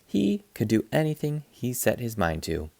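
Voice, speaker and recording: Male read-aloud narrator for children's books: playful, lively swings in pitch, close dry sound. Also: moderate pace, gravelly, no room sound. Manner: expressive, playful, acts out sound effects. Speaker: teacher reading aloud to students. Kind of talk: animated children's picture book read-aloud.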